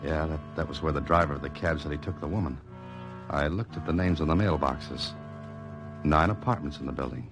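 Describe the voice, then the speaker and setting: An American actor playing a wry, cool novelist-sleuth in a 1940s radio drama, with dry, clipped delivery. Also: male voice, a bass voice, fairly quick. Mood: wry, intent. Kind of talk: 1940s radio drama acting and first-person narration. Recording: old radio recording with music behind.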